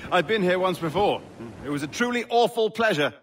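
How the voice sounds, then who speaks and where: Video game merchant: English, polite and flustered, very animated delivery, noisy soundscape behind. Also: male voice, high voice, a little fast. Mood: chatty. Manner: fussy, polite, effusive speech. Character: timid, genteel, flustered merchant, effusive and eager to please. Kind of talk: video game cutscene acting.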